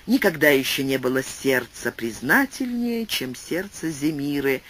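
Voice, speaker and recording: Man, audiobook narrator, high voice, very lively intonation, expressive literary reading. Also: measured pace. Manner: lively, swooping delivery with shifting pace. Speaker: audiobook reader.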